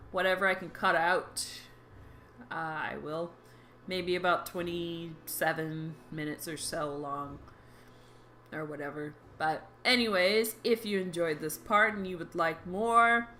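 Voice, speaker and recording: Slow-talking woman gamer chatting. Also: on the low side, sharp tone, a little hiss or hum. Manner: chatty and rambling, with frequent fillers. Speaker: gaming video creator. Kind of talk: casual let's-play commentary. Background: faint game music.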